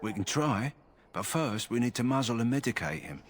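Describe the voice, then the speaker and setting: A man's voice: medium pitch, expressive, normal speed, slight background noise, lightly acted. An actor playing a practical, kindly male kennel master in a fantasy video game, plain-spoken and earnest.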